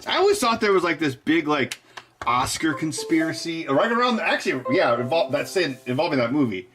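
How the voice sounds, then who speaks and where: A man's voice: on the high side, big pitch swings, fairly quick, a bit bright. Jokey man rambling on a live stream. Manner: rambling, jokey, laughs often. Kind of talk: casual rambling chat.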